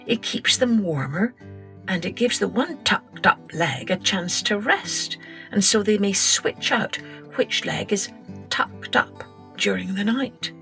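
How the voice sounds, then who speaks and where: Slow, expressive woman storyteller. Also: medium pitch, soft background music. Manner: expressive, gentle, slow and clear. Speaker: podcast host and storyteller. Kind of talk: storytelling for young children.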